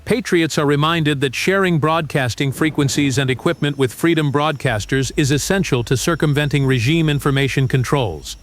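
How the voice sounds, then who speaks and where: A man's voice: a little high, moderate pace, few pauses, close to an everyday voice. A man playing a formal rebel network announcer reading a scripted station message in an audio drama. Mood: formal, official.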